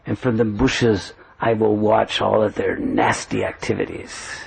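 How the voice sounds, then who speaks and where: A man's voice: fairly low pitch, flat delivery, unhurried, gravelly, slight background noise, pitched below the performer's own voice. A man voicing a jealous young husband who grumbles and plots to spy. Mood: brooding. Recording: dull dry recording.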